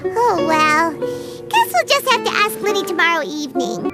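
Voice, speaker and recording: A woman's voice: very high voice, sing-song, normal speed, loud music behind the voice. A woman voicing a tiny, childlike fairy companion in a video game, bubbly and exaggerated in manner. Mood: chatty, curious. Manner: bubbly, exaggerated, refers to self in third person. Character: tiny chirpy childlike fairy girl companion, excitable, third-person talker.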